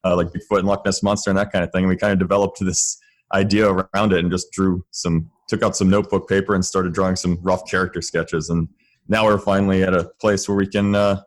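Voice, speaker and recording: A man from Florida chatting casually and haltingly as a podcast guest. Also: low voice, flat delivery. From Tampa, Florida. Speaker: podcast guest, audio drama creator. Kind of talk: casual interview chat.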